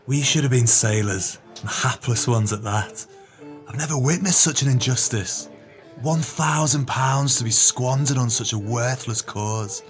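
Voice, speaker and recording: A man's voice: mid-range voice, measured pace, faint music behind, natural voice. An actor playing a greedy, sly male swindler in an audio drama, with pompous, wordy, formal speech. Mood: bitter, greedy.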